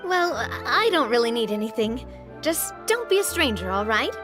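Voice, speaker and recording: A woman voicing a lively, generous young female group leader with a hearty, upbeat, commanding manner, in game voice acting. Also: a little high, normal speed. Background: game music.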